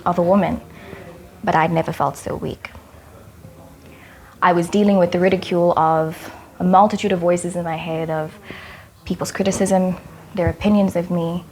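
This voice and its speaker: Woman with a gravelly low voice, measured and candid, faint music under it. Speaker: interviewee. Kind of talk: personal reflection to camera.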